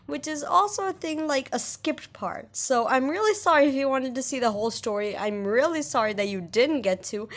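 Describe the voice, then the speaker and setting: A young woman giving casual, chatty, rambling gameplay commentary as a video creator. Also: high-pitched.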